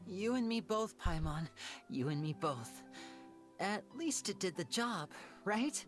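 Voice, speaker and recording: A woman's voice: mid-range voice, sing-song, slow, soft background music. A woman voice-acting a character in an anime game. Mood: relieved.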